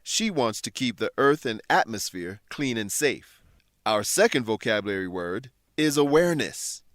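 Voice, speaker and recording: A man's voice: medium pitch, big pitch swings, normal speed, fairly bright tone, no room sound, clean studio sound. Man presenting a scripted English lesson. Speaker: host and English teacher.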